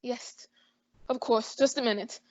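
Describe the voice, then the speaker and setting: A woman's voice: on the high side, fairly even intonation, measured pace, darkish tone, no echo, lightly acted. A young woman voicing a nervous, flustered man in an old-time radio drama, stammering as he talks. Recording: dry, slightly dull lo-fi sound.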